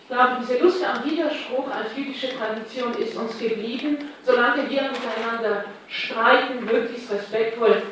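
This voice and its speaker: A woman moderating a panel discussion, calm and conciliatory as she sums up. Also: a little high, slight background noise. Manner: measured, conciliatory. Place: large hall at a community event. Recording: echoey hall from far off.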